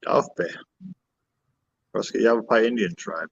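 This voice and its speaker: A man from the Cherokee Nation of Oklahoma, a guest in a casual virtual chat, talking candidly and joking, with halting fillers, over a video call. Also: lowish voice, expressive, vocal fry, husky, no echo, lo-fi sound.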